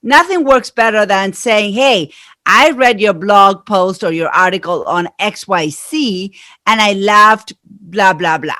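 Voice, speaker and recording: A woman's voice: medium pitch, slow pace, sharp tone, no room sound. Argentine-accented middle-aged woman, earnest explainer, dry close sound. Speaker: guest. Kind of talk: interview answers.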